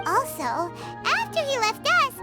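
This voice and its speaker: A tiny fairy sidekick from a video game with an extremely high, childlike squeak. Her delivery swoops wildly, chirpy and nosy, with exclamatory turns. Music plays clearly behind her. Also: a little slow, full character voice. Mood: chatty, curious. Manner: speaks of herself in third person, exclamatory and expressive. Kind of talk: video game character acting.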